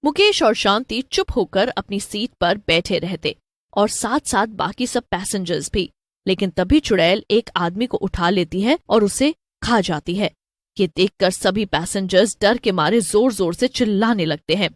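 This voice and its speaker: A woman's voice: medium pitch, animated, measured pace, no room sound, clean studio sound. A woman narrator giving a scripted narration of a cartoon story.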